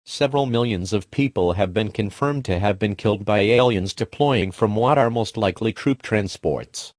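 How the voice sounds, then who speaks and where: A calm official announcer reads emergency alerts in a low, steady voice, as a man plays him. The delivery is formal and even, and the sound is dry and close. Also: moderate pace, dry recording, lightly acted.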